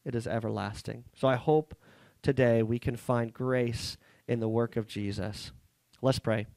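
A man in his thirties preaching a sermon at a small coffee-shop church, earnest and halting, with frequent restarts, speaking into a headset mic. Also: mid-range voice, steady tone, gravelly, a little mellow, no room sound. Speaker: pastor.

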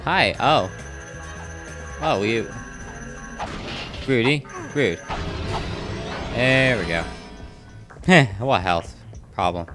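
A young man hosting a let's-play, chatting in a rambling, casual way and talking back to the enemies on screen, keeping his naturally loud voice down at home. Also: mid-range voice, animated, drawn-out words, pauses often. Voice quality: loud voice held down to a murmur. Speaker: gaming channel host. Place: home room next to a neighbor's bedroom. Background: faint game music.

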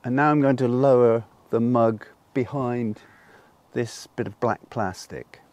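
A man's voice: mid-range voice, fairly even intonation, a little slow, a little mellow. An older man with a lapel mic, explaining a demonstration outdoors in a garden in a patient way, with frequent ums. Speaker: solar cooking presenter. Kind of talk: unscripted demonstration.

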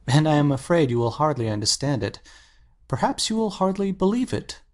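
An actor playing an earnest, anxious painter in a dramatic audiobook, speaking intensely and articulately. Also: male voice, fairly high pitch, sing-song, fairly quick, darkish tone. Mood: hesitant. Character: earnest, anxious male painter confessing his obsession.

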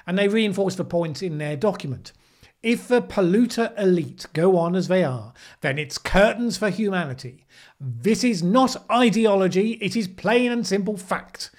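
A middle-aged man hosting a commentary show, speaking to the camera in a sardonic way. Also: sits high. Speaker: host of a weekly news commentary show. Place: small indoor room, plain backdrop. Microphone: microphone on a desk boom arm.

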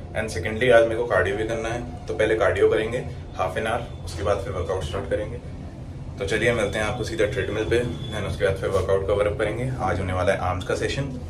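A man's voice: lowish voice, fairly even intonation, brisk. Chatty young vlogger man. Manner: chatty, friendly, sprinkles English phrases, calls viewers bhai and yaar. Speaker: fitness vlogger. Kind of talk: casual vlog chat to camera. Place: indoor rooms, a gym and a bedroom. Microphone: handheld phone selfie and fixed camera. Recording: roomy echo, faint ambient noise.

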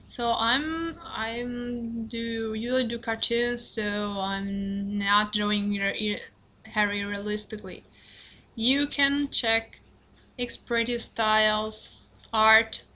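Young italian woman explaining drawing steps, slow and bright, lots of ums, slight hiss behind. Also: on the high side, steady tone. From Italy. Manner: halting, apologetic, many fillers and restarts. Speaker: drawing tutorial creator. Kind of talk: hesitant screen-recorded drawing tutorial. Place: at a computer, indoors.

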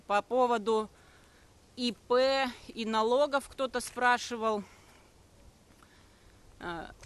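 A woman in her thirties, talking on a live stream while she walks a forest path with her phone held at arm's length. She answers viewers' comments briskly and defensively and hesitates often. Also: mid-range voice, a bit bright. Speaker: network marketing streamer. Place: walking outdoors on a forest path.

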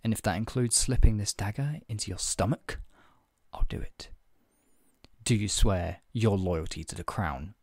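Man acting stern redcoat interrogator, low-pitched. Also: normal speed, darkish tone, no room sound, studio quality. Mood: menacing. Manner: clipped, authoritative, formally polite with condescending threat. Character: stern, menacing British redcoat soldier interrogating a colonist. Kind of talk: ASMR roleplay, one-sided scripted dialogue.

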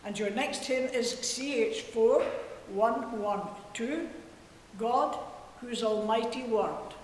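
A woman reading scripture at a church service in a solemn, measured and deliberate way. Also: a little high, a little mellow. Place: inside a church. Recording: very echoey church hall, faint hiss.

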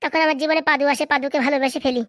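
A woman's voice: high-pitched, fairly even intonation, rapid-fire, a bit bright, no room sound, studio quality. A woman playing an aggrieved, stubborn young wife, argumentative and emphatic, in a comic cartoon. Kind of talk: comic cartoon drama dialogue.

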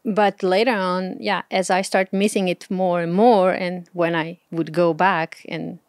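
A woman in her thirties with a Portuguese accent talks casually as an interview guest. She speaks softly and pauses often, with frequent small laughs. The sound is close and dry, with no room around it. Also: fairly low pitch, little pitch movement, dry recording. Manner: soft-spoken, hesitant, laughs often. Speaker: guest, travel blogger.

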